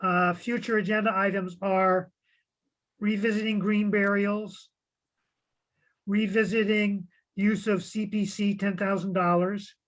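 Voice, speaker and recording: High-voiced man, hesitant. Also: flat delivery, unhurried, a bit bright. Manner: hesitant, many restarts. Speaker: commissioner and clerk. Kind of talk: meeting discussion.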